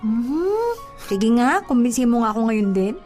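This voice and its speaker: Woman playing a mellow, coy young sweetheart. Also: on the high side, big pitch swings, moderate pace. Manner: coy, teasing, hesitant when surprised. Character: coy, bantering young provincial woman being courted. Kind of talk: radio-style romantic drama acting. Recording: music bed under the voice.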